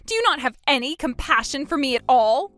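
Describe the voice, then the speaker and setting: Woman putting on a shrill pleading sister-in-law. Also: lively intonation, fairly quick, fairly bright tone, soft background music. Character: desperate pleading sister-in-law, whiny and self-pitying.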